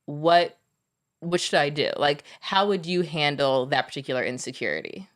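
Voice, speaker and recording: A woman co-hosts a podcast with a notably deep voice. She chats candidly and eagerly shares stories in an easy, conversational way. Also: animated, normal speed. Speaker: podcast co-host. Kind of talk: casual conversation.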